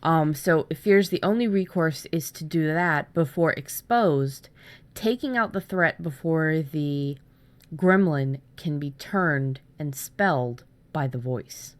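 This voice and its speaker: A woman's voice: low voice, takes it slowly. A woman in her thirties co-hosting a casual writing chat, sharing story ideas in a playful, joking way. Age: 30s. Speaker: writer and co-host.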